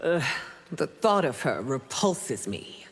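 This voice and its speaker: A regal, proud warrior ruler from a fighting game, speaks in formal, measured phrases. The pitch moves widely, and a long reverb trails the voice. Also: female voice, big pitch swings, breathy edge, full character voice. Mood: grave. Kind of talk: video game character banter.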